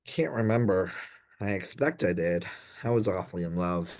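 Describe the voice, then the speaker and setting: An actor playing an anxious, dim young gentleman in a comic period radio play, dithering and apologetic in posh old-fashioned slang. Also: sits low, lively intonation, normal speed. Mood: embarrassed confession. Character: anxious, dim young upper-class Edwardian gentleman in trouble.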